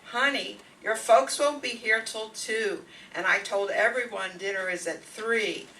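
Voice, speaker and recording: A woman playing a brisk, managing housewife in an old-time radio sitcom, persuasive and take-charge. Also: mid-range voice, sharp tone, far from the mic, low-quality recording. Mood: coaxing.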